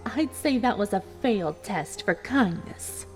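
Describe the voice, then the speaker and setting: A woman voicing a cruel, calm female unicorn villain in a fan fiction reading, smug, taunting and deliberate. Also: mid-range voice, lightly acted. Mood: cold, smug. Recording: voice over a music bed.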